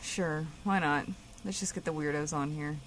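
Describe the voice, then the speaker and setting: A woman's voice: deep voice, steady tone, moderate pace, a little hiss or hum, close to an everyday voice. A woman playing a dry, sarcastic, exasperated radio co-host in a comedic audio drama. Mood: sardonic.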